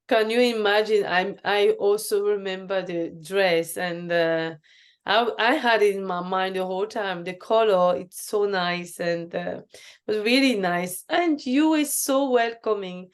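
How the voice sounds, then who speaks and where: A woman's voice: mid-range voice, a little slow, clean tone. Effusive woman host from Cameroon. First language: French. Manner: warm and effusive, with frequent restarts and fillers. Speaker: show host. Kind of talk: casual interview chat.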